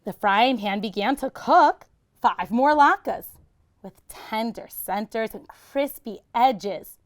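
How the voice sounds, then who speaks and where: Slow, high-voiced woman reading to children. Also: lively intonation. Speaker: teacher reading a picture book aloud. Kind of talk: expressive children's story reading.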